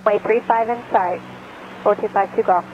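A woman's voice: mid-range voice, fairly even intonation, moderate pace, faint music behind. A woman pilot giving brief readbacks over an aircraft radio. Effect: aircraft radio transmission. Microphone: aircraft radio microphone. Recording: muffled, noisy cockpit radio.

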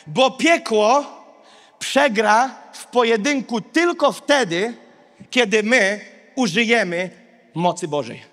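A man in his thirties preaches with a very high-pitched voice. He speaks as a pastor to a big congregation, emphatic and declarative, repeating short phrases. His handheld-mic voice rings through a large hall with long reverb. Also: a bit bright. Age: 30s. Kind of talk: sermon to a large live congregation. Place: large indoor auditorium stage. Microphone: handheld microphone.

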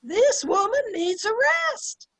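A woman's voice: extremely high pitch, sing-song, takes it slowly, exaggerated voice. An older woman playing a brash, sarcastic housemaid in an amateur old-time radio comedy, over a video call. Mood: insistent. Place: room at home, video call.

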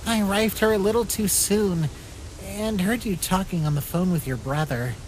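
A man voicing a small, polite, inquisitive talking flower in an animated comic dub. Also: high voice, moderate pace, slight background noise, natural voice, low-quality recording. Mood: apologetic, subdued.

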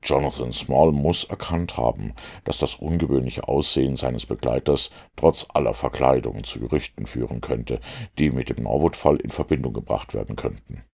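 A man's voice: a bass voice, moderate pace, gravelly, darkish tone, full character voice. A man reading the part of a self-assured, analytical detective in an audiobook, speaking precisely and with command.

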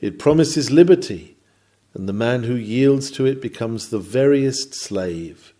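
Solemn man delivering a sermon, mellow voice. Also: medium pitch, lively intonation, unhurried. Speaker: reader of a historical sermon. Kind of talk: sermon, read from a script with oratorical delivery.

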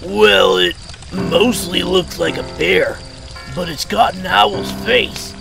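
A man's voice: high-pitched, fairly even intonation, slow, gravelly, exaggerated voice. A man playing an eager, protective monster hunter in an amateur audio drama, serving as a princess's regent. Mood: reassuring. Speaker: voice actor. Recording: music over the voice.

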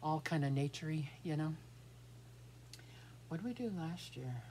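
A woman's voice: deep voice, lively intonation, measured pace, warm tone. An American woman in her fifties chatting casually through a craft show-and-tell, thinking aloud and trailing off mid-sentence. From United States. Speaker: craft vlogger. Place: small cluttered indoor craft room. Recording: clear room noise, handling clicks.

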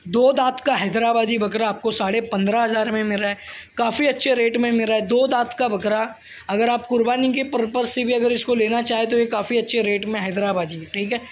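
Very high-pitched, enthusiastic Indian salesman. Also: steady tone, fairly quick. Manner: enthusiastic, repetitive, echoes what the seller says. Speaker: vlog host and promoter presenting livestock for sale. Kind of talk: casual sales presentation and interviewing. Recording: handheld phone video with background noise.

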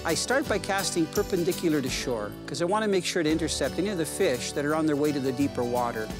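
An older man in his sixties talks through his fishing from a kayak out on a lake, his voice a touch higher than most men's. He explains in a relaxed, easygoing way, and music plays clearly underneath. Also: fast talker. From Canada. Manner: relaxed and explanatory, easily excited by a catch. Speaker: fishing show host. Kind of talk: casual outdoor fishing commentary.

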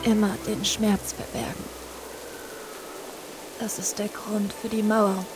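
An actress voicing a determined young princess in game dialogue, earnest and loyal. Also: female voice, medium pitch, little pitch movement, takes it slowly, background noise, close to an everyday voice. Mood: heartfelt.

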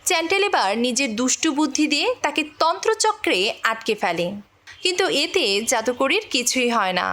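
Female recap narrator, very high voice, animated intonation, scripted storytelling read. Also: brisk.

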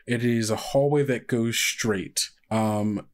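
A man's voice: mid-range voice, takes it slowly. A man with an American accent who runs a tabletop game, narrating off the cuff in a conversational, rambling way full of asides. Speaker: game master.

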